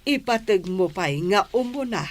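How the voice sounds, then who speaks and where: A woman's voice: medium pitch, expressive, moderate pace, close to an everyday voice. An actress playing a patient, middle-aged mother giving counsel in an Ilocano radio drama, with an Ilocano accent. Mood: gently advising.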